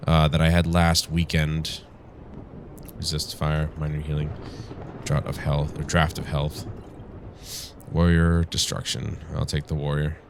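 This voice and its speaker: Low-voiced congested man gaming. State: congested from flu, strained voice from yelling. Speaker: gaming show host. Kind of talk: casual let's play commentary. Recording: clear background noise.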